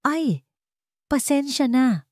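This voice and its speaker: A woman's voice: sits high, expressive, slow pace, no room sound, studio quality, voice pushed up. Woman acting a nervous, frightened woman. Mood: shaken. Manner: nervous, hesitant. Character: frightened woman pretending all is normal.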